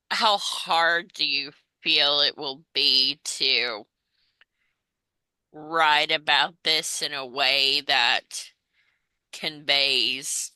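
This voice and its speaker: A woman's voice: deep voice, bright, forceful tone, clear, resonant tone, dry recording, low-quality recording. A woman interviewer asking conversational questions with careful, hesitant phrasing.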